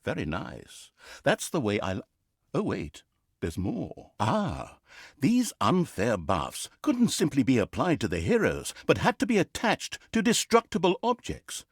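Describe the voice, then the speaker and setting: Smug, theatrical game narrator, swooping pitch. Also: male voice, huge pitch swings, measured pace, husky, no echo, clean studio sound, full character voice. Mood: gleeful, sly, then grandly self-satisfied. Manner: sardonic, pompous, hammy. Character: smug, petty, fourth-wall-breaking male narrator of a comic fantasy game. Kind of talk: theatrical comic storytelling narration.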